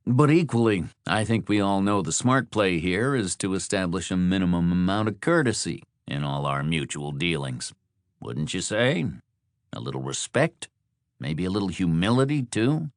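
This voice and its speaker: A man voicing a smooth, controlling motel host in an audiobook, coldly polite, measured and condescending. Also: deep voice, no echo, studio quality. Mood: coolly reproving.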